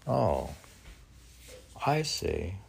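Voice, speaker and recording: A man in his sixties, a poet, talking casually and reading poems in a halting, musing, gravelly way. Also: low voice, very animated. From United States. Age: 60s. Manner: halting, musing, talks in slow fragments. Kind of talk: casual rambling talk mixed with poetry reading.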